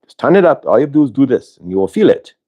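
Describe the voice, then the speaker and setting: A man voicing a benevolent otherworldly spirit collective in an affected, vaguely Indian-sounding accent, with drawn-out emphatic words, as in a live channeled healing session. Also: mid-range voice, very animated, warm tone, husky, highs cut off. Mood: playful, reassuring. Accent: affected, vaguely Indian-sounding put-on accent.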